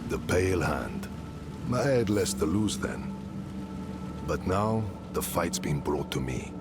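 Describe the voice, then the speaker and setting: A gravelly old-soldier mercenary smith. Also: male voice, low-pitched, expressive, slow pace, heavily acted. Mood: friendly. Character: seasoned middle-aged male mercenary leader and smith, wry. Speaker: game character. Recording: game soundtrack music behind. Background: game music.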